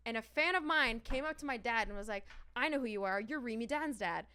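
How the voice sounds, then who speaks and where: Fast, bright-voiced woman comedian telling stories. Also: a little high, lively intonation, slight background noise. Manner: swearing, laughing, acts out other people's lines. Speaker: stand-up comedian guest. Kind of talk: animated storytelling in a casual podcast chat.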